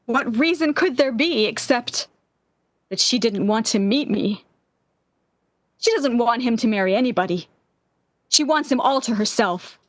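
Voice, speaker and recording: An actress playing an anxious, lovesick young woman in 1940s-style radio theater, pleading and halting in a melodramatic way. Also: a little high, animated, no echo. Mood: anxious, hurt. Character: Anne, lovesick, anxious, desperate young woman clinging to love.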